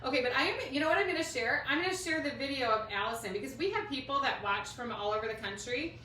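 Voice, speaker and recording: A woman's voice: high-pitched, animated, fast talker, bright, forceful tone. A middle-aged woman with a Minnesota accent chatting casually and informally as host of a craft livestream, rambling as she goes. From Minnesota, United States. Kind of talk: casual chat to a livestream audience. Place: indoors at home, roomy space. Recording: roomy, distant mic, faint noise.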